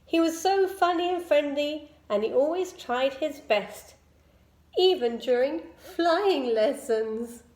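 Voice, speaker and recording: A woman reading a children's picture book aloud in an expressive storytelling style. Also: high-pitched, slow pace. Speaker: storybook reader. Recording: echoey room.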